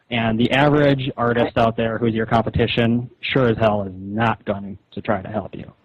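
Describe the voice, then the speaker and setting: A man arguing on a phone call in a blunt, condescending, persuasive manner. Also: on the low side. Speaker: rival webcomic creator. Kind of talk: phone argument. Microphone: telephone. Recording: muffled echoey phone call.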